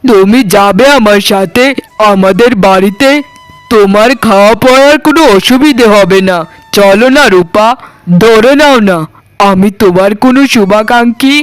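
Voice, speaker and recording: A righteous young cartoon nobleman, played by a man, speaks in a notably high male voice. He is formal, declamatory and protective, with music running underneath. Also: normal speed, clear, resonant tone, no echo, natural voice. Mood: kindly. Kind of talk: Bengali cartoon voice acting.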